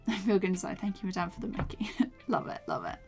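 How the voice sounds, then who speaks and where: A young woman chatting casually while she animates on a live stream, her voice tired from a day of talking, often laughing and drifting into soft mumbles as she works. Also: mid-range voice, steady tone, moderate pace, gravelly, faint music behind. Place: small home room, indoors. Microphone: desk microphone with foam cover.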